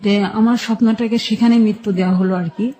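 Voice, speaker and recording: A woman with a Bangladeshi accent shares her own story in a radio interview. Her voice is soft-edged and mellow, at an ordinary pitch. She recalls things in short, halting phrases. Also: fairly even intonation. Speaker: guest telling her life story.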